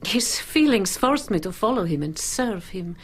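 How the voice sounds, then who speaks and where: Proud teasing worldly lady in a radio play, slow husky drawl, kinda ironic n breathy. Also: medium pitch, animated. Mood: proud, intimate. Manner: languid, ironic, breathy at phrase ends. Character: proud, teasing, worldly woman, coolly alluring and mocking. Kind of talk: literary radio drama acting.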